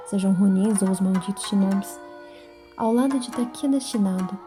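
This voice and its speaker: A woman's voice: mid-range voice, mellow. A woman with a Brazilian accent narrating a scripted, literary audio drama.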